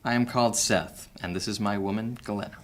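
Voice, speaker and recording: An actor playing a cunning, guarded male master thief in an audio drama, speaking in a measured, sly way. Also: fairly low pitch, steady tone, lightly acted.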